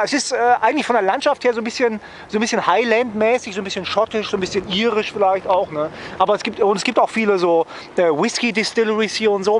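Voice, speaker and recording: Higher-pitched man, wry vlogger. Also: a little hiss or hum. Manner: wry, joking, often ends phrases with a questioning "ne?". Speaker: travel vlogger. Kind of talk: casual, rambling vlog chat to viewers.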